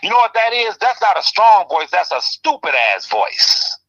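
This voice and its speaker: A man with a high, bright voice rants with passion on a talk show. He swings between loud outbursts and low, pressing remarks. The audio is dull and lo-fi. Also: very animated, normal speed. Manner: impassioned, ranting, swings loud and soft. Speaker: commentary show host. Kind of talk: heated casual talk.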